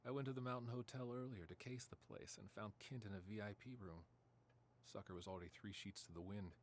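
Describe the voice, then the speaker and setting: A man's voice: lowish voice, fairly even intonation, a little fast, slight background noise, close to an everyday voice. A man playing a corrupt, self-assured senior police officer in an audio drama. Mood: controlled, assured.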